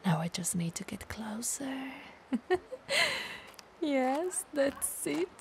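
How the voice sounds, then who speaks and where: A woman's voice: high-pitched, very animated, takes it slowly, darkish tone, a little hiss or hum, full character voice. A woman playing a playful, clumsy, bloodthirsty female vampire who mutters theatrically to herself in an ASMR audio roleplay. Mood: excited, sneaky.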